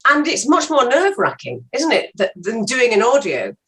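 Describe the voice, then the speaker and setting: A woman's voice: fairly high pitch, huge pitch swings, moderate pace, fairly bright tone. An older woman with a British accent, a guest actor talking casually in an interview.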